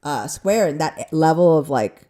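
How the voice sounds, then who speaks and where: A woman's voice: deep voice, animated, a little slow, dark tone. A woman co-hosting a podcast in casual chat, explaining her opinions at length, swearing and laughing easily. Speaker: podcast co-host.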